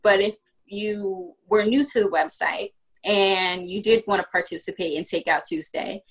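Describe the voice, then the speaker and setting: A woman's voice: mid-range voice, moderate pace, breathy edge, poor audio. A woman from Virginia, a business founder chatting as a podcast guest and explaining things step by step with plenty of fillers. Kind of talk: casual podcast interview.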